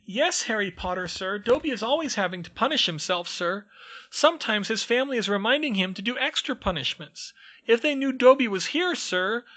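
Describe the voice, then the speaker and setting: A man voicing a small, servile male house-elf with a very high squeaky voice and broken grammar, who calls everyone sir. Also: expressive, normal speed, bright, forceful tone. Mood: servile whining.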